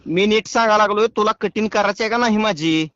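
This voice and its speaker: A man's voice: high voice, fast talker, fairly bright tone, no room sound. A man with a rural Marathi accent making a prank phone call, pleading and persistent in a rustic way. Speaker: prank caller and show host. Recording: dull lo-fi phone call audio.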